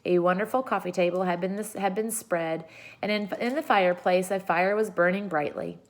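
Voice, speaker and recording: A woman reading a children's story aloud with expressive narration. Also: mid-range voice, a little fast. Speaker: storybook reader.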